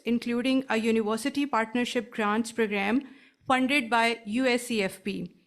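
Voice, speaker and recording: A woman hosting an academic conference, formally introducing a speaker and politely inviting questions. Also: fairly high pitch. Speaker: conference host.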